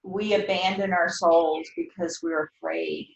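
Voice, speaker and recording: Earnest woman talking slow n reflective, bit hesitant, roomy echo on the recording. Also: fairly low pitch, very animated, highs cut off. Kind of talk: reflective conversation, quoting from a reading. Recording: echoey, roomy sound.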